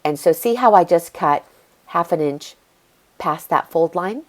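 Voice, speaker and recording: A woman's voice: on the low side, expressive, measured pace. A middle-aged woman, a bit congested, chatting easily through a step-by-step craft tutorial as she works. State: congested from allergies. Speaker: craft video host.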